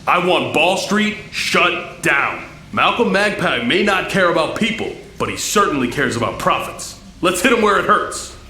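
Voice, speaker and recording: Earnest, echoing mutant rebel leader. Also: male voice, fairly high pitch, very animated, moderate pace, bright, forceful tone, exaggerated voice. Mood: firm, commanding. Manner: solemn, rousing, emphatic. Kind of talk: animated audio drama acting. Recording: roomy, faint background noise.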